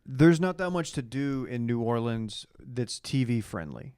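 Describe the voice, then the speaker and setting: Man, from Dallas, podcast co-host, mid-pitched voice, casual banter, close dry studio sound. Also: expressive, measured pace, darkish tone, clean tone, no echo. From Dallas, Texas. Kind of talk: casual banter recapping reality TV.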